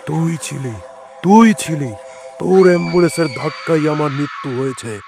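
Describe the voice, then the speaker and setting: A man's voice: fairly high pitch, normal speed, gravelly, music in the background, pitched below the performer's own voice. A man voicing an angry, vengeful male corpse in a horror cartoon story, menacing and accusing. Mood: furious, accusing.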